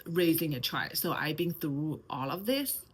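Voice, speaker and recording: Soft-spoken Mandarin-accented woman in her thirties. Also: sits low, animated, measured pace, slightly rough. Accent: Mandarin Chinese. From China. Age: 30s. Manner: hesitant, many fillers and restarts. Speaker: channel host. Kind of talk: personal vlog talk to camera.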